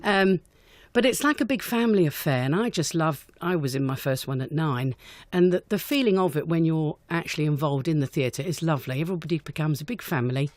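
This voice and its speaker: A woman's voice: sits low, animated, fairly quick, hardly any pauses, a little mellow, no echo. A woman answering questions in an unscripted radio interview, chatty and enthusiastic as a guest director. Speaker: guest, amateur pantomime director.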